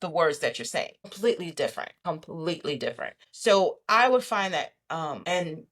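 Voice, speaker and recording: A woman, giving an animated, emphatic testimony as a podcast guest and often repeating herself for stress. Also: low-pitched, normal speed. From Brooklyn, New York.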